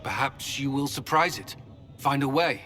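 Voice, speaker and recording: Fantasy game army commander: very sharp, tense mid-range voice, resolute, urgent, commanding. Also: male voice, medium pitch, expressive, moderate pace. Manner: commanding, forceful. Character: male military commander, resolute, grim, urgent. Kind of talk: fantasy video game acting.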